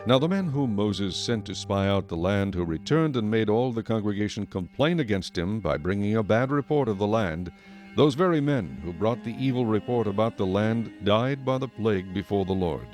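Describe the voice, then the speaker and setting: Casting: male narrator, low register, solemn measured scripture reading, clear music bed. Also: lively intonation, darkish tone.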